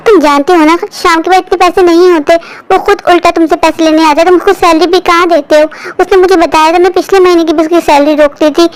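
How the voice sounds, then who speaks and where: Woman as a high-voiced, aggrieved old mother. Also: steady tone, quick pace, rough recording. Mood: reproachful. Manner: complaining, hurt. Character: aggrieved elderly mother pleading with her son.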